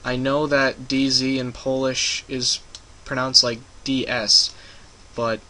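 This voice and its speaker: A man's voice: medium pitch, little pitch movement, slow pace, pushed and bright, low-quality recording. A young man casually narrating a screen-recorded game-making tutorial, rambling and hesitant with many ums and uhs. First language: English.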